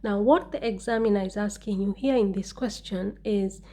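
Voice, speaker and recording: A woman teaching an English speaking-exam lesson, slowly repeating model answers and explaining them step by step. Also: mid-range voice, warm tone.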